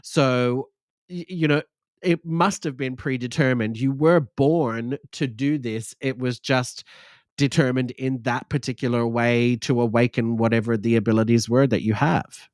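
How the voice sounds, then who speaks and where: A man's voice: medium pitch, fairly even intonation, a little slow, darkish tone, dry recording. Man, forties, host, earnest and reflective, dry close sound. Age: 40s. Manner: earnest, reflective, frequent agreeing fillers. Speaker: podcast host. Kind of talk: casual interview chat.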